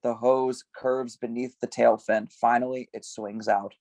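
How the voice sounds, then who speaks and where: A man's voice: mid-range voice, little pitch movement, a little slow, darkish tone, no echo. A man reading stage directions at a script table read, giving scene headings some emphasis.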